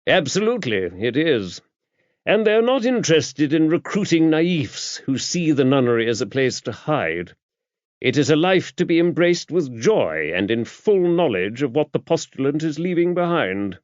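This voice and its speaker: A man's voice: fairly high pitch, very animated, moderate pace, no room sound, voice pushed up. A man voicing an earnest, talkative English bishop in an audiobook, formal and emphatic. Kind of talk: audiobook character dialogue. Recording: dull, muffled lo-fi audio.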